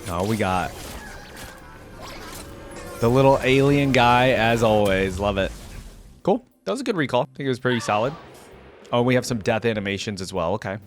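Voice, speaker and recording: A young man giving casual, expressive reaction commentary as a video host, easily amused. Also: mid-range voice, moderate pace, pauses often. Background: game audio and music.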